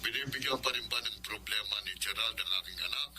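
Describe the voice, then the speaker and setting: An actor with a Filipino accent playing a stern, worried older father in a radio drama, heard quietly over a telephone. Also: fairly low pitch, fast talker, crowd noise. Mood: stern, concerned. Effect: distant, quiet telephone voice.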